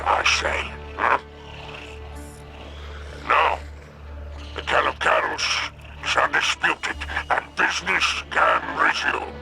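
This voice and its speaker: Gravelly, smug alien crime boss. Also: male voice, on the high side, sharp tone, full character voice, low-quality recording. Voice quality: gravelly, creaky. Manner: sly, theatrical, drawn-out phrasing. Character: smug, scheming male alien criminal boss. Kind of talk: video game character monologue.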